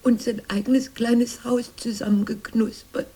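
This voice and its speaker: A wistful, anxious sister from a fairy tale, grown up and looking back. Her voice is very husky and mellow, often sinking to a breathy whisper, dreamy yet insistent in radio-drama style. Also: a little high, fairly even intonation, a little slow. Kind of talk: German radio drama acting.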